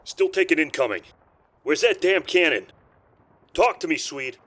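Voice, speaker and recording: A man voicing a gruff, battle-hardened lieutenant piloting a war machine in a military audio drama, with clipped, muttered or snarled callouts. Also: on the high side, animated, moderate pace, a bit bright, pitched above the performer's own voice. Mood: angry, urgent.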